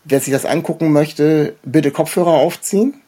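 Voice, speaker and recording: A man hosting a casual interview, speaking in a hesitant, halting way as he puts his questions. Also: a little high, mellow. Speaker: podcast host, interviewer.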